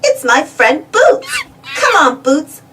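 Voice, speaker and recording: A woman's voice: high voice, huge pitch swings, slow pace, far from the mic, noisy background. A woman reading a storybook aloud to young children, expressively and addressing the listeners directly. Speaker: storybook reader.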